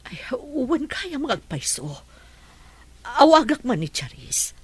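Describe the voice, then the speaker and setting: A woman's voice: high-pitched, sing-song, slow pace, slightly rough, full character voice. A woman acting an anxious mother in an Ilocano radio drama, emphatic and worried.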